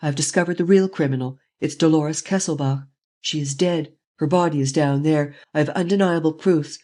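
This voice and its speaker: A reader voicing a daring, commanding gentleman thief who speaks urgently and forcefully in an audiobook. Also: medium pitch, brisk, close to an everyday voice. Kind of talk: audiobook reading.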